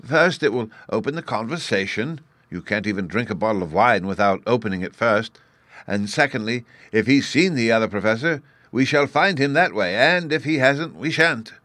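A man voicing an excitable, absent-minded, kindly old professor in a storybook, with a flustered, pedantic manner. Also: mid-range voice, moderate pace, no room sound, voice pushed up, close to an everyday voice.

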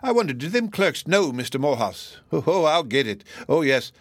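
A man voicing a stubborn, sly express agent with a folksy brogue and drawn-out grumbling. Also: fairly high pitch, big pitch swings, no room sound, pitched above the performer's own voice. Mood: mocking.

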